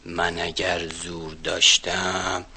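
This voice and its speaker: A man plays a deep-voiced thundercloud in a storybook tale, slow and heavy, with a very bright, hard edge on the low voice. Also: flat delivery, breathy edge, full character voice. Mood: gruff. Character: thundering, stormy male cloud.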